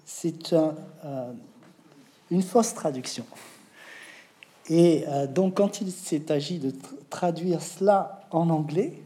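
A man who writes novels, answering interview questions in a reflective, hesitant way with many pauses. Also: fairly high pitch, warm tone.

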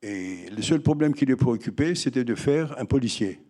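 An older film director recalling his early films in an interview, halting and full of restarts. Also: mid-range voice, expressive, dark tone. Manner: halting, with many fillers and restarts. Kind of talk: reminiscing interview answers.

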